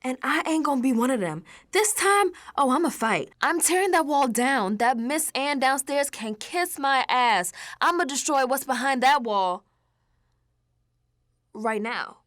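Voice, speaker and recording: Actress doing a scared young woman coming apart, radio drama monologue, highish voice, really swingy pitch, talks casual and halting. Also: animated. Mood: distraught, defiant. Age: young adult. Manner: colloquial, halting, slow, drifting into hushed tones.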